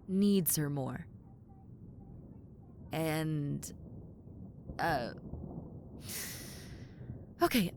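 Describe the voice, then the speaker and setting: A woman's voice: mid-range voice, a little hiss or hum. A woman voicing a brash tomboy succubus in a roleplay audio, sarcastic, incredulous and casually blunt. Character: brash tomboy succubus demon, blunt, sardonic, reluctantly helpful. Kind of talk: roleplay audio acting, conversational.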